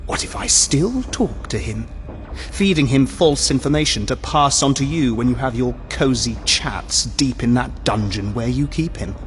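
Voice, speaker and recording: A man's voice: mid-range voice, slight background noise, close to an everyday voice. A man playing a sinister demon in a dead soldier's body, mocking and wordy, in an audio drama. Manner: mocking, verbose, savouring slow threats. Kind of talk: audio drama villain acting.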